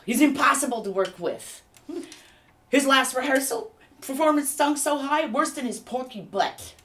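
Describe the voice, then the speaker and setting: A woman's voice: high voice, expressive, moderate pace, fairly bright tone. A woman playing a jealous big bad wolf actor who complains sardonically in a comedy mock interview. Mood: bitter, sardonic.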